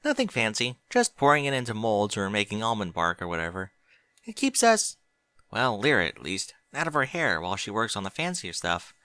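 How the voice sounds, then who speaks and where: A man's voice: lowish voice, big pitch swings, a little fast, bright, forceful tone, no room sound. A man voicing a bookish young female pony, chatty and precise, in a fan fiction audiobook. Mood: chatty, casual.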